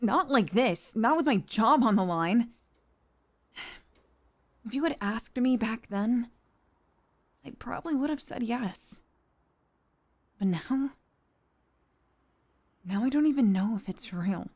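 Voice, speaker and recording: A woman playing a distressed young employee cornered by her boss, speaking in a halting, sighing, hurt way in an intimate dramatic roleplay monologue. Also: on the high side, expressive, full character voice. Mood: conflicted, vulnerable. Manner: halting, sighing, emotionally wounded delivery.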